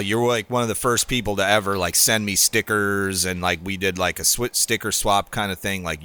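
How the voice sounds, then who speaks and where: A man's voice: sits low, bright, forceful tone, clear, resonant tone. A man hosting a podcast in a casual chat, rambling with lots of restarts and enthusiastic introductions. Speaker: podcast host.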